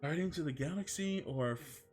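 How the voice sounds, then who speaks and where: A man's voice: on the high side, moderate pace, a little mellow, faint music behind. A man streaming a comedic fan fiction reading with casual chat, amused and given to swearing. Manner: amused, profane.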